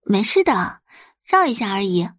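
A woman's voice: mid-range voice, sing-song, moderate pace, fairly bright tone, dry recording, studio quality. A woman voicing a sweet, solicitous teenage schoolgirl from a rich family, with a gushing friendly manner, in an audio drama. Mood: sweetly friendly.

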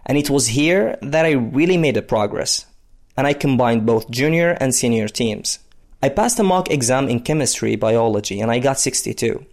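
A man's voice: mid-range voice, animated, normal speed. A man from the Democratic Republic of Congo, in his thirties, telling his personal story in a conversational way with frequent fillers. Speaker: interviewee, basketball player.

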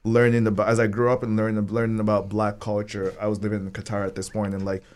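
A low-voiced man from Nigeria talks as a guest on a podcast. He is a rapper, loose and laid-back, drifting through his thoughts with plenty of "like" and "you know.". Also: completely flat delivery, fast talker, darkish tone. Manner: laid-back, rambling, heavy on fillers. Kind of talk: casual podcast conversation.

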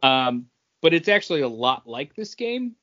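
A man co-hosting a casual gaming chat, talking informally and a bit haltingly in loose, wandering sentences. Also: medium pitch, big pitch swings, sharp tone, lo-fi sound. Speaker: podcast co-host.

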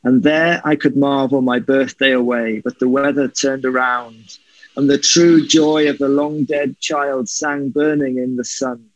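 A man from Wales with a light Welsh accent talks in an earnest, reflective way. He speaks over a video call, and the audio sounds dry and a little muffled. His voice sits at an average pitch. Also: fairly even intonation, unhurried, dry recording. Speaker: guest. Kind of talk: poetry reading and conversational interview.

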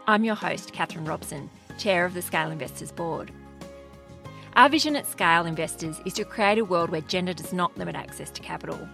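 A woman's voice: on the low side, brisk, creaky, bright, forceful tone, soft background music. A woman hosting an interview podcast in a polished, presenter style, introducing and questioning guests.

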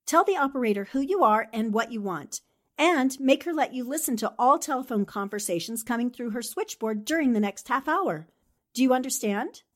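A woman playing a brisk, determined newspaperwoman sleuth in a 1940s-style radio drama, with a commanding manner. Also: on the high side, lively intonation. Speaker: actor in an amateur radio drama re-creation.

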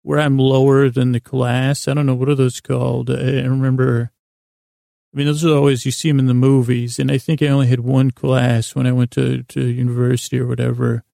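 A middle-aged American man hosting a bedtime podcast, rambling in a halting, digressive, self-deprecating way with a lulling, soothing tone. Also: medium pitch, flat delivery, vocal fry, dark tone, dry recording, clean studio sound.

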